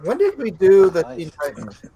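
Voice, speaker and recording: A man's voice: high-pitched, wildly swooping pitch, normal speed, warm tone, rough recording. A man chatting casually while he draws and muttering to himself about his work. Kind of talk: casual chat while drawing.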